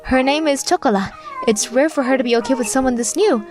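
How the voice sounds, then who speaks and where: A woman's voice: high voice, quick pace, faint music behind, natural voice. A woman voicing a polite, sweet young hostess with a kind manner in an anime-style manga dub. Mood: cheerful, friendly. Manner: polite, soft-hearted.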